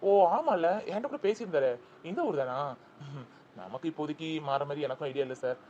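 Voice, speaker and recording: A man's voice: fairly high pitch, very animated, fairly quick, a little mellow, highs cut off, slight background noise. A young man playing an anxious father who calls out over and over for his child. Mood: reluctant. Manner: frantic, repeats name calls. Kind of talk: Tamil drama acting.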